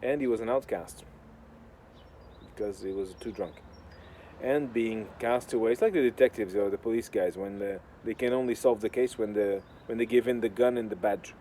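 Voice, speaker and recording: A man with a Portuguese accent and a fairly low, very mellow voice talks casually to his viewers. He is sardonic and deadpan, swearing freely as he rambles. Faint urban works noise sits behind his voice. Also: moderate pace. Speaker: video host. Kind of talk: casual rambling talk to camera. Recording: clear outdoor urban construction noise. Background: noise of building works.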